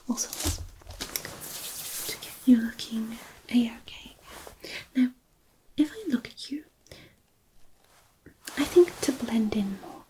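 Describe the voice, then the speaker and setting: A woman playing a kindly, attentive, gently playful fairy helper in a fantasy ASMR roleplay, soothing in a breathy near-whisper. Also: a little high, a little hiss or hum, low-quality recording.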